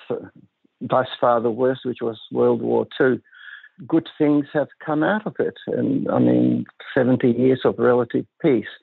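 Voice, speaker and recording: An older man with a gravelly, husky voice, a guest on an interview show, speaking in a measured, thoughtful way with pauses and restarts. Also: mid-range voice, no room sound. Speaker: guest psychiatrist. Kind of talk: reflective interview talk. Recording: dry tinny phone-like line.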